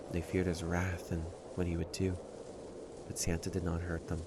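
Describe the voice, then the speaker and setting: A man playing a proud, prickly stray catboy who hides his loneliness, in an emotional audio roleplay with a haughty, halting delivery. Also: deep voice, noisy background, natural voice. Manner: tsundere, haughty, defensive, halting.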